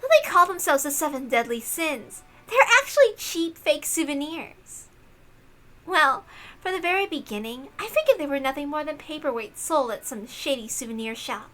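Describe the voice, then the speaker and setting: A woman's voice: high voice, big pitch swings, moderate pace, a bit bright, faint music behind, voice pushed up. A woman voicing a prim, ruthless young female inquisition officer, formal and coldly dutiful, in a visual novel read-aloud. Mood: coldly disdainful.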